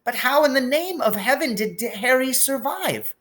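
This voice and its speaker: A man's voice: extremely high pitch, lively intonation, moderate pace, fairly bright tone, voice pushed up. A man voicing an anxious, stern older witch who is a professor in a storybook. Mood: astonished, faltering. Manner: astonished, disapproving.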